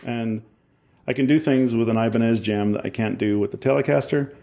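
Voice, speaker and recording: A man in his forties with a Scottish accent softened by years in Ohio, a musician chatting in an interview and telling rambling, enthusiastic stories with self-mocking laughs. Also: mid-range voice, fairly quick, creaky. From Scotland. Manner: rambling, enthusiastic storyteller who laughs at himself. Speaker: musician guest. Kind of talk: casual interview chat.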